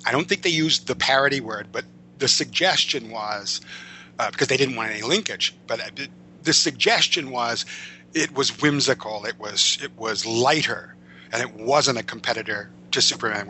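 A man's voice: mid-range voice, sharp tone, a little hiss or hum, rough recording. A man speaking as an interview guest, conversational and wordy, often stammering over repeated words and circling back to rephrase. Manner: articulate, wordy phrasing. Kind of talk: conversational storytelling and opinion about comics and film.

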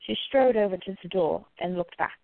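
Lady narrating a radio play, creaky voice, dry and tinny like a phone. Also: mid-range voice, a little fast, no echo.

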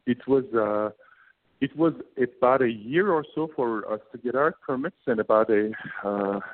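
A man with a Turkish accent, in his late thirties, answers interview questions in a halting way, stacking up fillers and restarting his phrases. His voice sits at a middle pitch. The sound is squeezed and thin, as if it came through a phone line. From Turkey. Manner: halting, many fillers and repeated words. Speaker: guest, business co-founder. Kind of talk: casual interview talk. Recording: phone-like narrow band.

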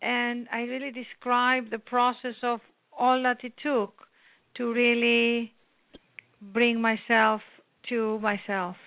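A woman from Greece with a Greek accent, an author talking earnestly at length in a phone interview, with many pauses. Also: fairly high pitch, flat delivery, no echo. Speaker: author guest. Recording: tinny phone line.

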